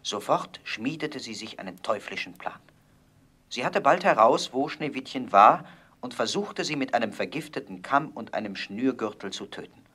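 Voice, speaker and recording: A man narrating a fairy tale in a scripted audio play with measured storytelling. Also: mid-range voice, expressive, pushed and bright, husky.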